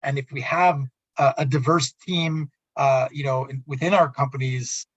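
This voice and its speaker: Male interviewer, conversational but stumbling over restarts, rough-edged voice, muffled echoey audio. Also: medium pitch, steady tone. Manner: halting, repeats and restarts words. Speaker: radio talk show host.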